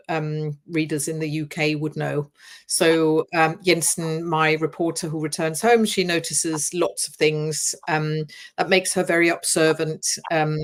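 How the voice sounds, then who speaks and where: A middle-aged woman, a crime author talking as a guest over a video call, thoughtful and hesitant with many fillers. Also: low-pitched. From Denmark. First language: Danish. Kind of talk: casual interview talk.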